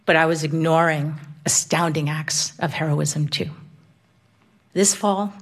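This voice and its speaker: A woman's voice: low-pitched, fairly even intonation, a little slow. A woman reading a reflective personal essay in an earnest, emotional way.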